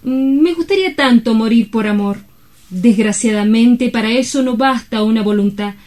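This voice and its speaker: Woman acting high-voiced melancholy romantic lady. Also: normal speed, darkish tone, natural voice. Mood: wistful. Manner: wistful, affected, dramatic. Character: melancholy romantic lady, dramatic and world-weary. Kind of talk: theatrical dialogue from a comic stage play.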